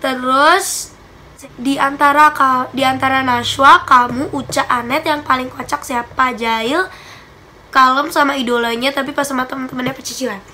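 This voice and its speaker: A woman's voice: high voice, moderate pace, a little hiss or hum. Chatty young woman with a stuffy nose. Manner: chatty, giggly, animated hand gestures. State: slightly stuffy nose from a cold. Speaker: vlogger. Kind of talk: casual Q&A chat. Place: small bedroom indoors.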